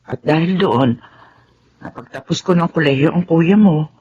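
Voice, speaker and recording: An actress playing a loyal, widowed middle-aged housemaid in her forties who speaks gently and motherly. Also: low-pitched, moderate pace, breathy edge. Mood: worried. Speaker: radio drama actor. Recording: dull lo-fi radio recording.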